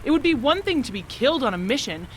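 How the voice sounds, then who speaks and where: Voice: sits high, big pitch swings, fast talker, a little hiss or hum. An actor playing a hard, unsentimental military squad boss in a radio drama, stern and cutting. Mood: stern, scornful.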